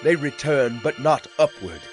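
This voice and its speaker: A man's voice: mid-range voice, lively intonation, close to an everyday voice. A man voicing a solemn, wrathful God, music underneath. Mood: anguished anger. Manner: solemn, declamatory, deliberate phrasing. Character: wronged, wrathful male God pronouncing judgment through prophecy. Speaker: dramatized scripture narrator. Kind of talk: dramatic scripture reading. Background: background music.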